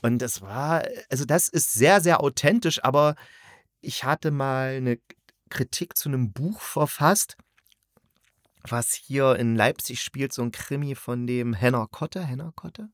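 A man's voice: medium pitch, unhurried, no room sound, clean studio sound. A man chatting casually and critically as a reviewer, often rephrasing himself mid-thought. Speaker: audio drama reviewer and host.

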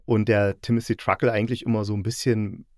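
Male podcast host, lowish voice, relaxed review conversation, very dry close recording. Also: quick pace, no room sound. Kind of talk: casual chat, radio play review.

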